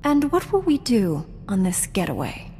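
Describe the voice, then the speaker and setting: An actress playing a young Jedi knight heroine in a video game, speaking in a composed, measured, dryly teasing way. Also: female voice, mid-range voice, wildly swooping pitch, warm tone, a little hiss or hum. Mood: wry, teasing. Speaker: video game player character. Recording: long hall reverb, game music underneath.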